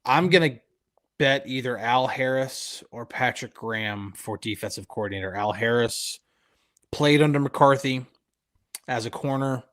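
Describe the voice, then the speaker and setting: A man's voice: mid-range voice, a little slow, a bit bright. A man co-hosting a live sports podcast, agreeing easily and breaking down coaching options. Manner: agreeable, easygoing, analytical. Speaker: podcast co-host. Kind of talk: live podcast chat and football analysis.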